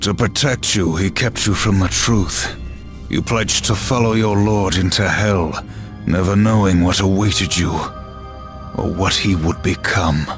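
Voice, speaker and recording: A man playing a grim, vengeful apocalyptic horseman in a video game, speaking in a stern, deliberate, menacing manner. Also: deep voice, soft background music. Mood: grave, insistent. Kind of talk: dramatic video game cutscene acting.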